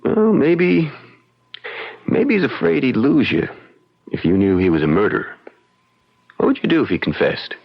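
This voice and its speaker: An actor playing a folksy young frontier lawyer in a radio drama, measured and hesitant as he reasons things out. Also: medium pitch, very animated, vocal fry. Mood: patient, persuasive. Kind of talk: old-time radio drama acting. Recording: dull vintage radio drama sound.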